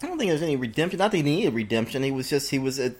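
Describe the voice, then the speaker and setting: A man's voice: medium pitch, sing-song, racing, husky. A man co-hosting a casual film discussion, hesitant, with many fillers and offhand swearing. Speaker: podcast co-host.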